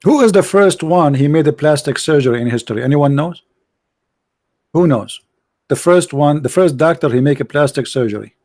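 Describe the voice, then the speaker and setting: A man with hosting a live-stream debate, mocking and interrupting with rapid repeated questions. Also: on the high side, animated, a little mellow, no echo, clean studio sound. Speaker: livestream host debating callers.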